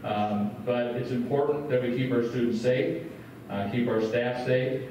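A man's voice: mid-range voice, a little slow, dull audio, slight background noise. School superintendent guy at a podium, lots of uhs, echoey room, kinda far off. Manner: plain, steady, many filler words. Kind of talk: public meeting address. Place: large school meeting room. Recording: roomy echo, distant from the mic.

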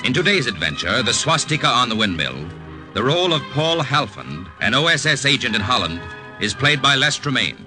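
A man's voice: medium pitch, big pitch swings, a little fast, natural voice. A man announcing a wartime espionage thriller in grave, declamatory 1950s radio style. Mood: grave, dramatic. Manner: dramatic, declamatory. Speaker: announcer of radio drama series. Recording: old 1950 radio recording, music behind.

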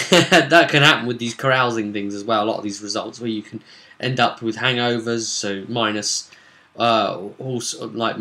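Young adult male. Casual vlogging game master, crisp forward tone, rambling delivery that stops and starts. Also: on the low side, pushed and bright. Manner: halting, rambling. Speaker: game master recapping a tabletop role-play session. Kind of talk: casual unscripted vlog recap. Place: small home room, indoors.

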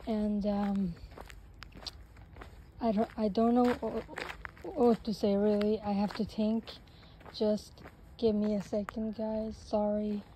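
Young female vlogger, unhurried and halting, recorded on a phone outside with steady noise behind. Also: mid-range voice, low-quality recording. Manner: hesitant, rambling, with many restarts. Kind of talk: casual walking vlog chat. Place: outdoors on a sunny paved path. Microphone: phone held while walking.

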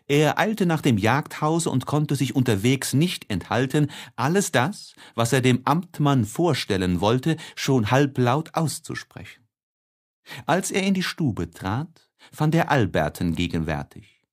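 A male audiobook narrator reads classic literature with dramatic, measured delivery. His medium-pitched voice moves through a very lively range, rising and falling expressively.

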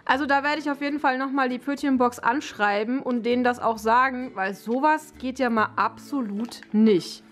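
A woman's voice: sits high, normal speed, few pauses, fairly bright tone. A young woman, talking casually and thinking aloud as she reviews products in an unboxing vlog. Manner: chatty, colloquial, thinking aloud. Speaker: dog owner and vlogger presenting an unboxing. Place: living room, indoors. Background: music in the background.

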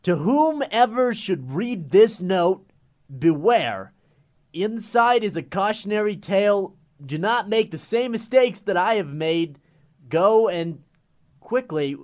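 A high, lively-pitched male voice from an actor plays an excitable radio show host. He is casual and breezy, bantering in audio drama style. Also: takes it slowly. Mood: ominous reading. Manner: breezy, enthusiastic, slangy.

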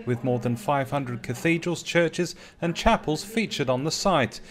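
A man reading scripted newsreel narration as a reporter. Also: a little high. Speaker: news reporter. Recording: music behind the voice-over.

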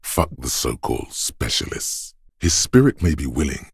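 A stern, goading voice of bodily endurance from a video game. It is an exceptionally low bass, rough and gruff. Every phrase is weighty and deliberate, recorded close and dry. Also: male voice, very animated, no echo, full character voice. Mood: brooding, solemn. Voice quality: gravelly, husky bass. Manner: slow, deliberate, weighty delivery. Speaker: voice actor. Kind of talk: dramatic video game narration.

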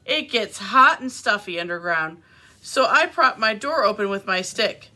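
A woman's voice: mid-range voice, animated, unhurried, bright, forceful tone, heavily acted. A woman voicing a practical, mildly grumbling badger in a children's storybook, explaining and complaining. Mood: mild complaint. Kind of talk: children's storybook read-aloud.

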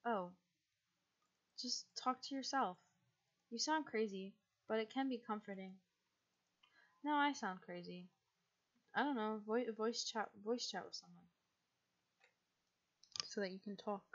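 A young woman from the United States, chatting casually and rambling as she streams a game, with a husky, quiet voice and frequent laughs. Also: fairly high pitch, a little slow, pauses often, muffled recording.

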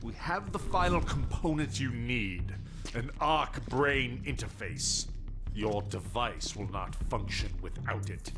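Arrogant alien soldier, male, mid-pitched, taunting, deliberate, menacing, game cutscene, music behind. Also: lively intonation. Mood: smug, threatening. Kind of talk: video game cutscene acting.

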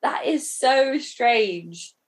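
A woman's voice: sits high, expressive, very slow. A woman hosting a podcast interview in a casual, chatty and inquisitive way.

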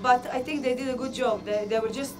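Woman boxer chatting in a gym, bit high voice, music playing under. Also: steady tone, quick pace. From Croatia. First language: Croatian. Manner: frank, laughs easily, slightly non-native phrasing. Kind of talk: casual interview. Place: boxing gym, indoors.

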